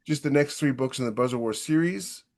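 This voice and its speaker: A man with a medium-pitched voice chats as a podcast host. He asks probing questions and gives opinions in a blunt, informal way. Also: brisk, darkish tone. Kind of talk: casual interview chat.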